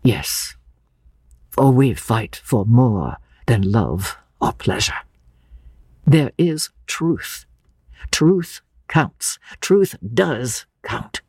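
A woman voicing an earnest old man in a novel, hot-tempered yet tender, speaking with slow, emphatic passion. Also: a bass voice, animated, darkish tone, breathy edge, no echo, clean studio sound, exaggerated voice. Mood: fervent.

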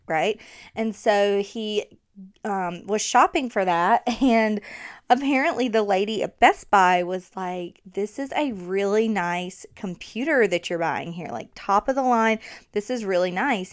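A woman's voice: mid-range voice, clean tone. A woman with a thick Southern accent hosting her own podcast, chatting casually with fillers and laughter into a new microphone. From Alabama. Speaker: podcast host.

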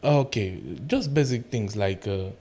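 A man, answering interview questions in halting, simple English with many fillers. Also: medium pitch, expressive, dark tone. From Cameroon. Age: 20s. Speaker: guest arm wrestler.

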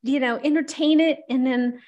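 A woman hosting a podcast, chatting casually with a guest, bubbly and gushing with quick restarts. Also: high voice, steady tone, a little mellow, muffled recording. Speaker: podcast host. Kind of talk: casual interview conversation.

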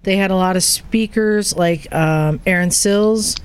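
A woman's voice: medium pitch, a little slow, smooth. A woman hosting a casual podcast chat, asking questions and telling things in a conversational, storytelling way. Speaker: podcast host. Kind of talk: casual chat interviewing a caller.

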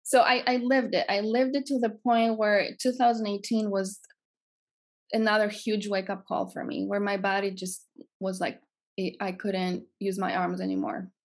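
Greek-accented woman around forty, podcast guest, earnest and reflective, mid-pitched voice. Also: flat delivery, normal speed. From Greece. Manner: earnest, reflective, repeats phrases for emphasis. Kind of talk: personal storytelling in an interview.

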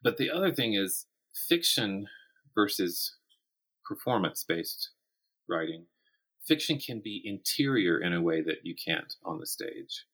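A man's voice: fairly low pitch, sing-song, unhurried, fairly bright tone, slightly rough, low-quality recording. A man speaking as a guest writer in a conversational interview, thoughtfully explaining and often breaking off to rephrase himself.

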